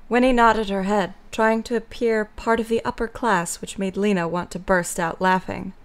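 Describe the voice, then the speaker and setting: Mid-pitched woman narrating a story. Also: steady tone, moderate pace.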